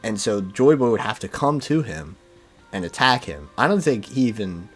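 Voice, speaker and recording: Low-voiced man chatting about fandom. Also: big pitch swings, moderate pace, faint music behind. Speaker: podcast speaker discussing manga. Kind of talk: casual fan discussion.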